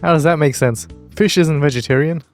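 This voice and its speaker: A man's voice: a little high, a little fast, faint music behind. A man hosting a reaction video, commenting with wry, blunt amusement. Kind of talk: casual commentary over a video.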